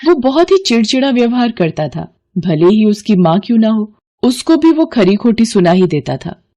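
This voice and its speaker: A woman's voice: fairly high pitch, very animated, moderate pace, mellow. A woman storyteller reading scripted narration for a moral family tale.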